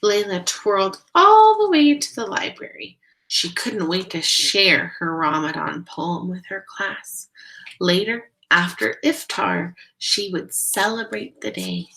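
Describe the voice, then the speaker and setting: A woman's voice: medium pitch, takes it slowly, creaky, low-quality recording. A woman reading a picture book aloud expressively, talking directly to young listeners. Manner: expressive, addressing children directly. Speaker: read-aloud storyteller.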